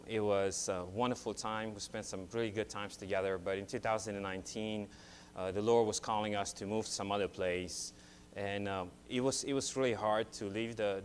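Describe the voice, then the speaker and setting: A man's voice: fairly low pitch, slight background noise. Romanian-accented man preaching from a pulpit. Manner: chatty, storytelling, with frequent restarts and growing excitement. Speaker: guest preacher. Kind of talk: sermon. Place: church sanctuary, at a pulpit.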